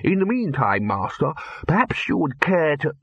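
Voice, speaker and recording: A man's voice: a little high, sing-song, normal speed, fairly bright tone, breathy edge, no echo, lo-fi sound, pitched above the performer's own voice, heavily acted. A man reading an audiobook voices a nervous, fussy male college bursar who stammers and placates. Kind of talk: audiobook character voice.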